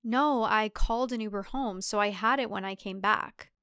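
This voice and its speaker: Woman doing a worried city career woman in a thriller audiobook, tense protests, clear and dry. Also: medium pitch, moderate pace, sharp tone, no room sound, lightly acted. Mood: frustrated. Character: worried, frustrated corporate woman trapped among cultists.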